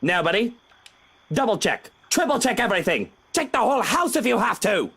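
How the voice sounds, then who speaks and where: Man acting proud, paranoid gentleman, high and swooping. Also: big pitch swings, a little fast, vocal fry, husky, heavily acted. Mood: agitated, indignant. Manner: indignant, formal, emphatic speech. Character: anxious, proud, irritable gentleman, demanding and paranoid.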